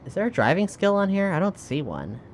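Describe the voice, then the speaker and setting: A man's voice: high-pitched, wildly swooping pitch, a little fast, a little hiss or hum. A man chatting informally and inquisitively as a player in a tabletop roleplaying game. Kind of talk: casual improvised game chat.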